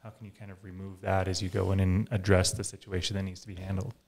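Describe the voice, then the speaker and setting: A young male podcast host with a low, gravelly voice, asking easygoing questions and checking in with "right?" a lot. He speaks into a desk broadcast mic in a small studio office. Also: level tone, fast, a little mellow. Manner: casual, affirming, fillers like right and yeah. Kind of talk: interview questions. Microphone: desk-mounted broadcast mic.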